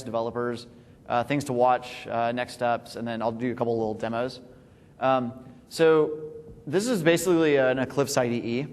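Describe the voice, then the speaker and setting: A man, a company founder, giving a casual tech conference talk on a large stage, with many ums and uhs. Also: medium pitch. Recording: long hall reverb.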